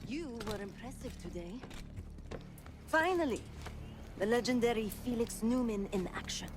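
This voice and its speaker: A woman's voice: fairly high pitch, lively intonation, moderate pace, background noise, close to an everyday voice. A woman acting in a video game cutscene as a self-assured female covert operative. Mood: admiring, probing. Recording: loud music behind the voice. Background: loud music.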